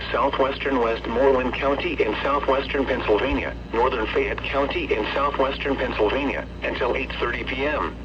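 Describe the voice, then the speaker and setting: A synthetic man's voice reading a scripted weather warning bulletin in a formal, flat, clipped way as a radio announcer. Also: mid-range voice. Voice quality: synthetic text-to-speech voice. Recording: muffled, noisy weather radio with hum.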